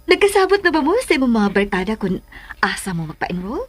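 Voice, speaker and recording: A woman's voice: fairly high pitch, very animated, fairly quick, slight background noise. A woman acting a doting, watchful mother in a Filipino radio drama, with a Bisaya accent. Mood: delighted, doting.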